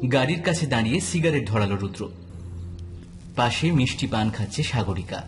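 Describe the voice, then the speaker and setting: A man's voice: medium pitch, expressive, normal speed, music in the background. A man narrating a scripted detective story as an audio drama narrator.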